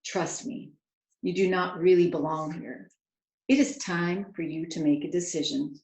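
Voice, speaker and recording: A woman voicing a gentle, earnest manservant in a storybook, speaking in a firm, measured, urging way. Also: on the low side, reverberant. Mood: gently urging.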